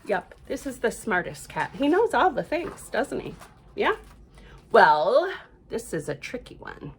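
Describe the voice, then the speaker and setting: A woman's voice: fairly high pitch, very animated, unhurried, slight background noise. A woman reading a picture book aloud at storytime, adding chatty asides and asking her young listeners questions. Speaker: library storytime reader.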